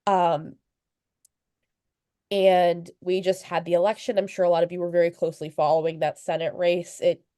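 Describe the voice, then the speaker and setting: Sniffly young woman reporter, low mellow voice. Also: flat delivery, moderate pace, smooth, no echo, studio quality. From Virginia, USA. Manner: chatty, fillers and restarts, light laughs. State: sniffly, under the weather. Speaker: local news reporter, guest speaker. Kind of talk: informal talk at a club meeting. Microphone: video call.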